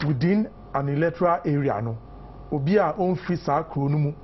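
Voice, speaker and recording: A man's voice: fairly high pitch, lively intonation, fairly quick, highs cut off, a little hiss or hum. Ghanaian man, emphatic political talk. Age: 50s. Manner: switches between English and Twi, emphatic, many fillers. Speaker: political guest. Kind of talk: morning newspaper review discussion.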